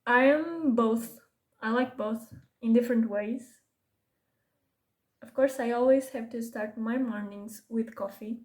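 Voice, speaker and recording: A young woman with a Portuguese accent talks through her makeup routine in a relaxed, unhurried way. Her voice is mellow and a little high, and she drifts into asides and corrects herself as she goes. English is not her first language. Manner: casual, self-correcting, rambling asides. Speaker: beauty vlogger. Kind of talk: chatty get-ready-with-me makeup talk.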